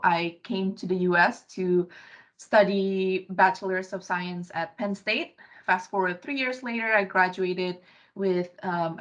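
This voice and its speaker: A soft-spoken woman from Indonesia in her thirties walks through a research presentation on a video call, pausing often on fillers. Her voice sits low for a woman, and the computer-mic audio comes through dull and lo-fi. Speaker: graduate student intern presenting research. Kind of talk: virtual poster presentation.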